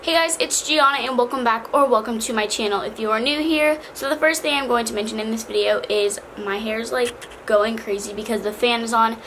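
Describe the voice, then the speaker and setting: A teenage girl vlogging from her bedroom, chatty and bubbly with lots of fillers, her voice a little hoarse, a fan running behind her. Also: fairly high pitch, a bit bright, poor audio. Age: teens. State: slightly hoarse from cheer camp. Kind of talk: casual backpack vlog. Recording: faint fan noise behind.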